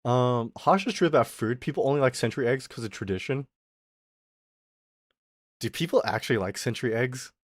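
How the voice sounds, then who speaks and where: A young man streaming as a VTuber, ranting in a casual, animated way. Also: medium pitch, dry recording, studio quality. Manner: animated, exaggerated, quick mock voices and laughs.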